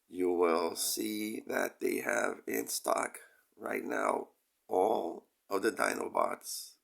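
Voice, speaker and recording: A man getting over a cold, with a crackly voice, hosting a casual toy review in a hesitant, rambling way with frequent pauses. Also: on the low side. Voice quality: crackly, gravelly. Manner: hesitant, rambling, pausing often, frequent throat clearing. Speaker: toy review channel host. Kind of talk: casual product review talk.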